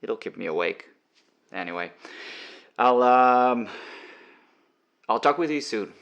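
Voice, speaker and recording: A man's voice: mid-range voice, expressive, slow pace, vocal fry, a bit bright, lo-fi sound, heavily acted. An actor playing a weary, affable man driving at night in an audio drama, conversational, hesitant and wry. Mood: regretful, hesitant. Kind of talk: audio drama acting, narration and dialogue.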